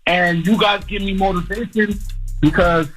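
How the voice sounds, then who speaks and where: Nervous high-voiced man. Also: steady tone, moderate pace, fairly bright tone, faint music behind. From Florida. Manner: nervous, stammering. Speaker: caller, rapper.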